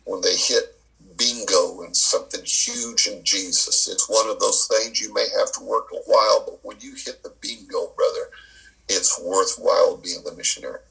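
A man's voice: fairly low pitch, fairly even intonation, normal speed, creaky, a bit bright, poor audio. A man in his sixties, a missionary guest, speaking casually and repeating key phrases for emphasis. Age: 60s. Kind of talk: casual interview talk.

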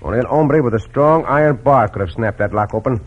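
An actor playing a shrewd Mexican cowboy hero, speaking formal accented English with authority in a radio Western. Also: male voice, mid-range voice, animated, fairly quick. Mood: commanding, cautious. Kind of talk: old-time radio Western acting. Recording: muffled, slightly rough old radio recording.

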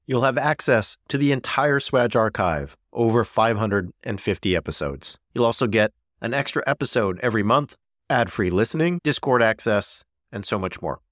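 Middle-aged podcast host, lowish voice, friendly and jokey, lots of you knows, dry sound. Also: male voice, animated, normal speed, a bit bright, no room sound. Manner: friendly, joking, hesitant fillers. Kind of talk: casual podcast chat.

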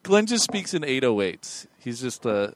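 A man's voice: medium pitch, sing-song, normal speed, slight background noise. A young man hosting a casual podcast, joking and laughing often with his guests. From United States. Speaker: podcast host.

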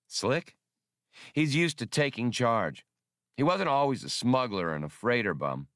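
A man's voice: medium pitch, sing-song, unhurried, a bit bright, no room sound, clean studio sound, voice pushed up. A man voicing a seasoned, easygoing ex-officer in a space adventure audiobook, spinning yarns with wry mildness. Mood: mild, amused. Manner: wry, mild storytelling. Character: seasoned, easygoing male ex-officer telling old war stories.

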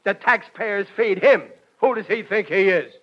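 High-voiced, blustering radio dad. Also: male voice, moderate pace, a bit bright. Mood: blustering, confident. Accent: American. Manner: folksy, bumbling, boastful. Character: blustering, well-meaning working-class father and war plant worker. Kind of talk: 1940s radio comedy acting. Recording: dull vintage radio recording.

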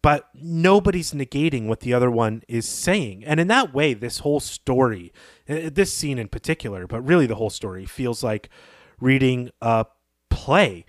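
Guy with a medium pitched voice talking through a story on a podcast, wordy and analytical, super dry audio. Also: expressive, moderate pace, dry recording. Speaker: podcast co-host. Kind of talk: conversational literary analysis.

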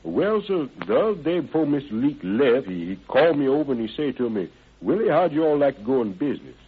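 Through a narrow, vintage radio sound comes a deferential young ex-con handyman. His husky voice swings up and down as he hesitates and rambles through folksy, slippery explanations. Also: mid-range voice, very animated, fast talker. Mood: hesitant, deferential. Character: evasive young ex-convict odd-job man, folksy and deferential. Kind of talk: old-time radio crime drama acting. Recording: band-limited vintage radio recording.

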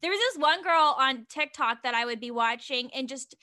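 A woman's voice: sits high, expressive, normal speed, sharp tone. A woman hosting a podcast in casual chat, chatty and giggly, with lots of "like" fillers. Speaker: podcast host.

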